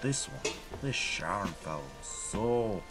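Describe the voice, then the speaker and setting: An edgy Irish gangster turned informant, his pitch bouncing all over. The Irish lilt sits on a somewhat low voice, and music plays under this old-time radio drama. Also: lively intonation, slow pace. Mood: indignant. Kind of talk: 1930s radio drama acting.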